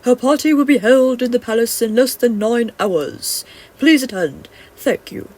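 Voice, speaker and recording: Woman putting on a loud, formal proclaiming duke. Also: on the high side, measured pace. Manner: loud, declamatory.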